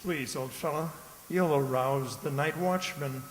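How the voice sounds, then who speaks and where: A man acting a smug, sly, old-fashioned gentleman and false friend in a radio drama, genteel and insinuating. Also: on the high side, a little slow, natural voice. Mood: smoothly sympathetic. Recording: echoey hall, faint noise behind.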